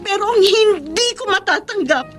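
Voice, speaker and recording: Radio drama casting: loyal young woman, very high voice, earnest and emotional, firm in her principles. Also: expressive, normal speed, faint music behind. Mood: wounded, defiant. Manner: earnest, emotional, pleading delivery. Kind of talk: Filipino radio drama acting.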